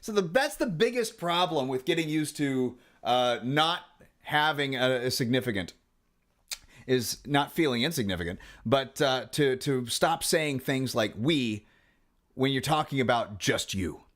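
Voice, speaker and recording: A man's voice: mid-range voice, animated, unhurried, fairly bright tone. A middle-aged man vlogging casually about his guitar channel, rambling and wry, with many fillers and restarts.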